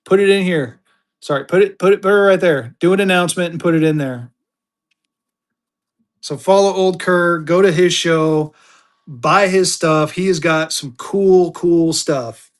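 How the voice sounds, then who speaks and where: A man hosting a live-stream auction of paper money, chatty, jokey and excitable. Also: sits high, unhurried. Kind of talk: casual live-stream selling chat.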